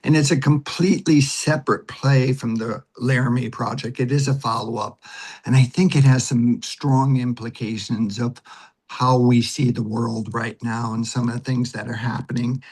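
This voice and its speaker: A man with a husky voice giving conversational interview answers, explaining things step by step. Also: mid-range voice, steady tone, moderate pace, a little mellow. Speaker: guest, theater director and producer.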